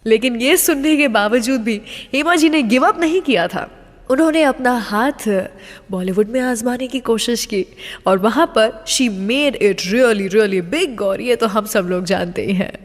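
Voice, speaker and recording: A woman's voice: fairly high pitch, lively intonation, measured pace, long reverb tail. A woman with an Indian accent presenting a scripted radio show, addressing listeners directly and mixing in English phrases.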